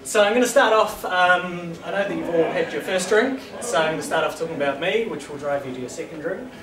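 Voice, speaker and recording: A man's voice: high voice, a little fast. Kiwi man joking at a bar meetup. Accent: New Zealand. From New Zealand. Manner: self-deprecating, joking, many ums. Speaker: statistics lecturer giving a talk. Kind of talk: casual presentation to a meetup. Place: small brick-walled bar room with an audience. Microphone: far camera, no mic. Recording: echoey room from far off, crowd.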